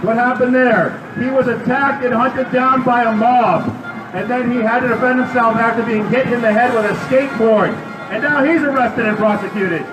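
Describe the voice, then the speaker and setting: A man's voice: very high-pitched, fairly even intonation, normal speed, over music. Man giving a fiery outdoor rally speech. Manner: loud, rhetorical, questioning the crowd. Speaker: rally speaker. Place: outdoor city square. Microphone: public address system heard from a distance. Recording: echoey outdoor PA from far off.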